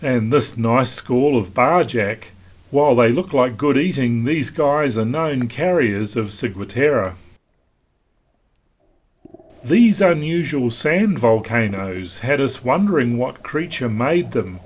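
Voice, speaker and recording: A man giving calm, instructive scripted narration as host of a sailing vlog. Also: mid-range voice, slow pace. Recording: wind noise on deck.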